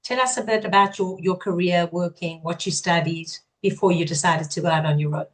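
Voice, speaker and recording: A woman's voice: lowish voice, fairly even intonation, a little fast, rough recording. Middle-aged woman hosting a podcast. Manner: friendly, asks long winding questions. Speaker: podcast host. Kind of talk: interview hosting.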